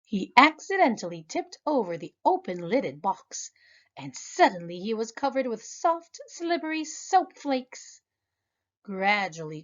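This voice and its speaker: A woman, a preschool teacher, reading a children's picture book aloud in a lively, expressive way. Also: lo-fi sound. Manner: animated, high voices for characters. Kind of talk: children's storybook read-aloud.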